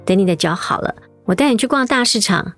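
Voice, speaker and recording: An actress playing a caring young mother in a radio drama, with a gentle, reassuring way of talking. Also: medium pitch, lively intonation, fast, faint music behind.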